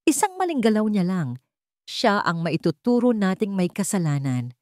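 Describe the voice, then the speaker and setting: A woman's voice: medium pitch, very animated, moderate pace, darkish tone, no room sound, studio quality, close to an everyday voice. A woman with a Filipino accent voicing a cold, calculating, cruel female schemer in a story, with scornful delivery.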